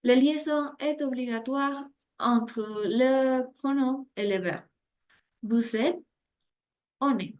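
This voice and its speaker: A woman teaching French grammar, slowly reading out example phrases in a scripted lesson. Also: sits high, darkish tone.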